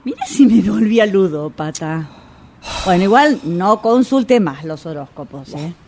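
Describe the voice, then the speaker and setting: An actress playing a gullible, resentful longtime client of a fortune teller in a radio drama, with an Argentine accent and a confiding, dramatic way of telling things. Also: female voice, medium pitch, animated, a little mellow, slight background noise. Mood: resigned, reminiscing. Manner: confiding, dramatic storytelling with pauses.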